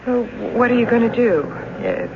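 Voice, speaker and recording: An actress playing an anxious, earnest wife in a suspense radio drama. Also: female voice, fairly high pitch, wildly swooping pitch, moderate pace, creaky, heavily acted. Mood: nervous, questioning. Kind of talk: old-time radio drama acting. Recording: muffled noisy radio drama recording.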